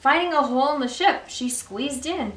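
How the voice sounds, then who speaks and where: A woman reading a children's storybook aloud as a library story time host, with expressive, deliberate phrasing for young listeners. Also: sits high, slight background noise. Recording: roomy echo, distant microphone.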